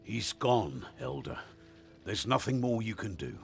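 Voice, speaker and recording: An actor playing a weary veteran demon hunter in a fantasy game, with a gruff, wary and intense manner. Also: male voice, on the low side, very animated, measured pace, soft background music, exaggerated voice. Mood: grim. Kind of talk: fantasy video game voice acting.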